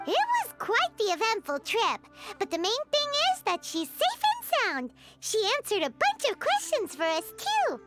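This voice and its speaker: A woman's voice: very high-pitched, wildly swooping pitch, measured pace, hardly any pauses, over loud music, exaggerated voice. A woman voicing a tiny, eager, childlike fairy companion in a video game. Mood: chirpy, eager. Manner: talks of self in third person, perky.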